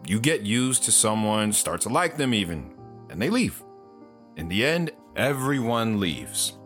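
An actor playing a steady, philosophical friend in an audio drama, speaking in a measured, reflective way. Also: male voice, fairly low pitch, faint music behind. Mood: wistful, philosophical. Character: steady, philosophical male friend giving folksy counsel.

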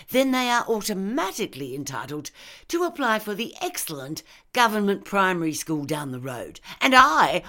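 A woman's voice: a bass voice, heavily acted. A woman voicing a scornful, ranting middle-class female murderer in an audiobook, sneering and emphatic. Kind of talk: audiobook character dialogue.

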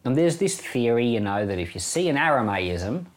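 A man's voice: medium pitch, very animated, normal speed. A middle-aged man with an Australian accent gives informal Bible commentary as a pastor, in a plain-spoken, conversational way. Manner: conversational, plain-spoken, chuckles now and then. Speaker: pastor hosting a Bible podcast.

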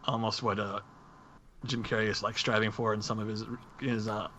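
A man co-hosting a podcast in casual chat, speaking hesitantly and haltingly, with faint noise in the recording. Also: on the low side, flat delivery, bright, forceful tone. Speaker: podcast co-host.

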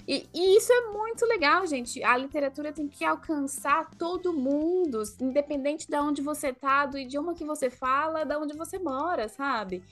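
A Brazilian woman talks as a podcast co-host in a high-pitched voice. She is conversational and emphatic, with faint music playing underneath. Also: moderate pace, hardly any pauses, a bit bright. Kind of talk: casual podcast chat.

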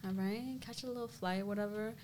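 A woman's voice: medium pitch, steady tone, a little fast, a little mellow, a little hiss or hum. A young woman, a co-host, chatting casually on a podcast, rambling and laughing often. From Dominican American, grew up in the US.

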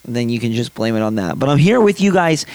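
A young man hosts a casual sports podcast in a slightly raspy voice, his throat worn from late nights. He rambles through his thoughts, full of fillers and self-corrections, at an everyday middle pitch. The recording is dry and close, with no room sound. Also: big pitch swings, brisk, a little mellow, dry recording. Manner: rambling, many fillers and restarts. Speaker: podcast host.

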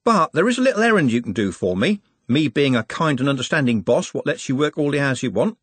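A man's voice: medium pitch, very animated, fast talker, slightly rough, no room sound, pitched above the performer's own voice. A man voicing a cheeky, cocky young male city lad who talks breezily and colloquially.

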